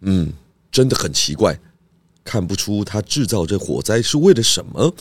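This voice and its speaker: A man playing a calm, clever pig detective who leads with care in a children's audio drama. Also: on the low side, normal speed, breathy edge, no echo. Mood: pondering.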